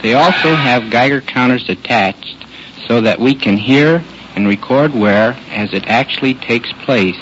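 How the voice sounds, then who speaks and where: A man explaining a technical demonstration as a research engineer in a scripted, measured radio report from a car. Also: medium pitch. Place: inside a test car. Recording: muffled old radio, noisy car. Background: car noise.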